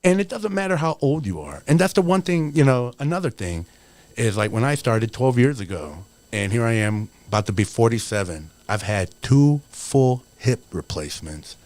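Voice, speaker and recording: A man's voice: medium pitch, sing-song, normal speed, darkish tone. A man in his forties, a wrestler guest on a podcast, talking in an earnest, motivational way with lots of "you know". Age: 40s. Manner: earnest, motivational, rambling with many fillers. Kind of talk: casual podcast interview.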